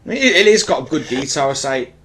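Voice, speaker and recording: A man's voice: medium pitch, big pitch swings, fairly quick, a bit bright, breathy edge. Congested man, casual video commentary. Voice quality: slightly congested, bunged up. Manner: informal, apologetic, rambling. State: stuffy with a blocked nose. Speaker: YouTube collector presenting his own videos. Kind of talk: casual unboxing-style product commentary.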